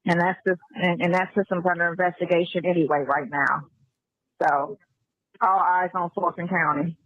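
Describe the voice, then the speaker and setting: A woman's voice: fairly low pitch, steady tone, normal speed, fairly bright tone, no echo. A woman chatting casually on a phone call, slangy and given to repeating herself. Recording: muffled played-back phone call.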